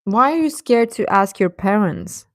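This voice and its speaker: A woman's voice: medium pitch, lively intonation, normal speed, dry recording, clean studio sound. A woman hosting a livestream, chatting casually and advising viewers in a conversational way, often hesitating and correcting herself. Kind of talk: casual chat, giving advice to viewers.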